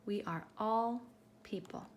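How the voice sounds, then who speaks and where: A woman reading a picture book aloud to children in an expressive, teacherly way, speaking directly to her young listeners. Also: mid-range voice, slow, a little hiss or hum.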